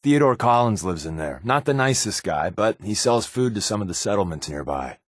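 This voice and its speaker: An American actor playing a sardonic, wisecracking secret agent companion in a video game, with a wry, offhand delivery. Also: male voice, lowish voice, animated, a little fast, no echo, clean studio sound. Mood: dry, joking.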